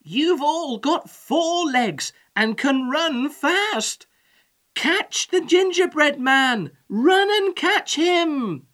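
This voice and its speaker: Woman playing an urgent old farmer, raised high voice. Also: lively intonation, extremely slow, exaggerated voice. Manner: shouting commands, urgent. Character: bossy old male farmer rallying his animals.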